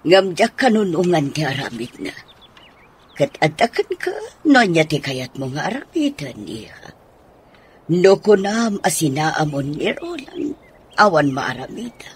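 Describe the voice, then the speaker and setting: A woman's voice: low voice, sing-song, a little slow. A woman with an Ilocano accent acting as an older, sympathetic mother-in-law who is indignant at her son, in a radio drama. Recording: noticeable background noise.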